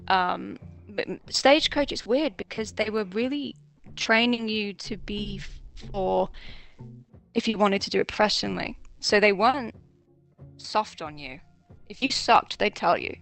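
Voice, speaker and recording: A woman's voice: medium pitch, measured pace, creaky, fairly bright tone, soft background music. A young woman chatting casually, telling stories with swearing and nervous laughs. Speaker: voice actress guest. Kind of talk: casual chat.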